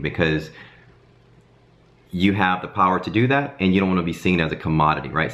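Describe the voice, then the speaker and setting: Casting: man in his 30s, deep voice, slightly hoarse, talking casually to camera at home. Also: highs cut off. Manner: animated hand gestures, frequent "um" and "okay?". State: hoarse from a cold. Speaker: design career vlogger. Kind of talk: casual advice talk to camera. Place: home kitchen, indoors.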